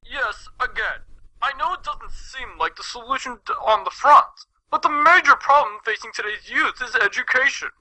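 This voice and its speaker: Male actor for a haughty pony nobleman in a cartoon tale; argumentative, forceful, very high voice. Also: expressive, moderate pace, exaggerated voice, low-quality recording. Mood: argumentative, lecturing. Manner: pompous, lecturing. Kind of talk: cartoon fan fiction audio reading.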